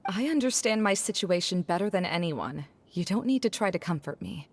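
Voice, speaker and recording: A woman's voice: fairly low pitch, animated, a little fast. An actress voicing a dejected, self-doubting young woman scholar in a video game cutscene, speaking in an earnest, confessional way. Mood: resigned. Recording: game music under the voice.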